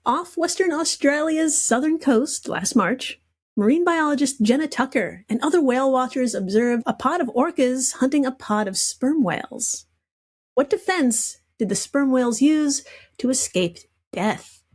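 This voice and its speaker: Woman, highish voice, giggly, irreverent, casual quiz reader, comedy podcast guest. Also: expressive, unhurried.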